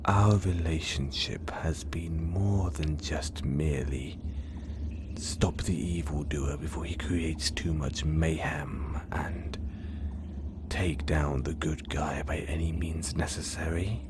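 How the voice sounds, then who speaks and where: A man voicing an obsessive, menacing male supervillain in an audio roleplay, speaking in a slow, hushed, gloating whisper. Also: very deep voice, steady tone, noisy background. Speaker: voice actor.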